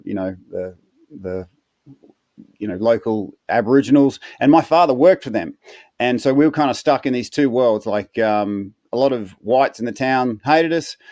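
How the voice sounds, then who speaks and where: A man's voice: medium pitch, a little mellow, no echo. Earnest Australian man, dry close sound. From South Australia. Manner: earnest, repeats words when restarting, many fillers. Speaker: podcast guest. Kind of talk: storytelling interview answers.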